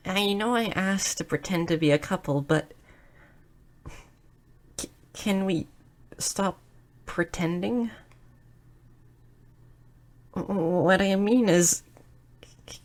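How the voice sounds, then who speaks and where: A woman playing a shy, clumsy, sweet young goblin girl who stammers earnestly in an intimate romantic audio roleplay. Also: medium pitch. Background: music.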